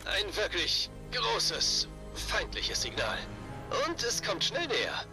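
A man voicing an anxious but determined hero ally in a German-dubbed video game, urgent and halting. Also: high-pitched, sing-song, exaggerated voice. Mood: alarmed. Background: game music.

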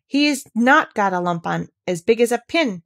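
A woman's voice: medium pitch, lively intonation, normal speed. A woman voicing a stubborn young girl who speaks with fierce indignation. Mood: indignant. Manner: obstinate, indignant.

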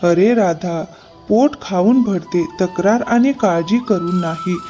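A woman's voice: fairly low pitch, slow pace, mellow, pitched below the performer's own voice. A woman voicing a poor, humble horse-cart driver and devoted father in a cartoon moral story, with earnest delivery. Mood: gentle, reassuring. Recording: music bed behind the voice. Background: background music.

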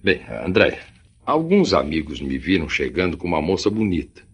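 An actor playing a courteous, reassuring middle-aged gentleman in a radio drama, formal, with a Brazilian accent. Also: low-pitched, very animated, brisk, a little hiss or hum. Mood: confiding. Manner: formal, correct diction.

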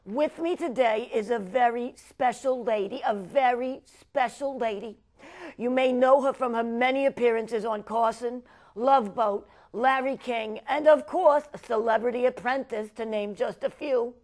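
A high-pitched woman acting as a New York talk show hostess of middle age. She is sentimental and effusive, tipping into melodrama, in a broad comedy parody style peppered with Yiddish turns. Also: unhurried, darkish tone, natural voice. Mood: gushing.

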